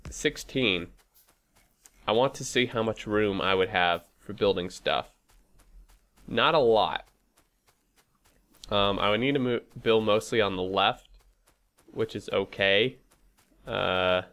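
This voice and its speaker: Male gaming host, fairly low voice, nasally stuffed from a head cold; casual, hesitant, talks through plans as he plays. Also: a bit bright. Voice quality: stuffy, congested nose. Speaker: host of a gaming playthrough series. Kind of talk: casual gameplay commentary.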